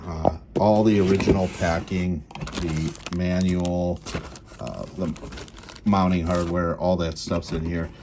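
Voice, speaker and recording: Low-voiced man giving a casual demo. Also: little pitch movement, slow pace. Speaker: auction seller demonstrating radio gear. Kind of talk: casual unscripted product demo. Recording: clear background noise, home video.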